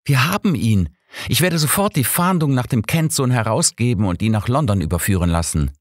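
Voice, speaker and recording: A man's voice: mid-range voice, expressive, a little fast, dry recording, studio quality, voice pushed up, close to an everyday voice. Man voicing an earnest, officious police inspector. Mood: elated.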